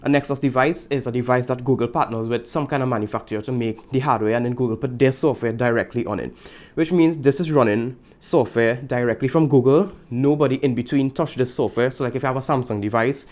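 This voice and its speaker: A man's voice: mid-range voice, steady tone, quick pace, a little mellow. A young man from Trinidad and Tobago with a Trinidadian accent, casually reviewing a tablet in a chatty, joking way. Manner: laid-back, chatty, repeats slang, jokes aside. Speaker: tech vlogger.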